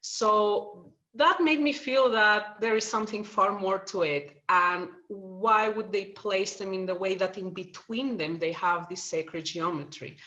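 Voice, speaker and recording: A woman's voice: mid-range voice, unhurried, bright, forceful tone, highs cut off. A middle-aged woman from Greece, a guest in an interview, answering at length in a thoughtful way with many fillers.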